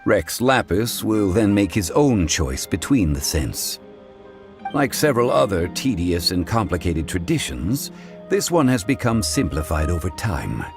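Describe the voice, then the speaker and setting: A man voicing a composed, courteous gentleman scholar in a video game, speaking in formal, measured, eloquent phrases. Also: deep voice, very animated, over music, exaggerated voice. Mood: composed, scholarly.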